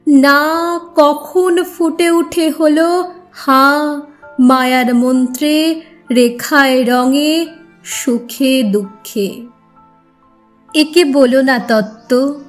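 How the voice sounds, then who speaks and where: Very slow, high-voiced woman reciting poetry. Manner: deliberate, expressive delivery with measured pauses. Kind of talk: dramatic Bengali poetry recitation. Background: music.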